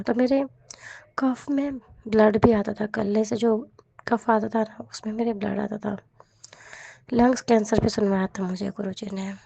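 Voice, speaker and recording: A woman with a hoarse, cold-roughened voice, earnestly sharing a devotional testimony and repeating her thanks. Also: medium pitch, warm tone, dry recording, highs cut off.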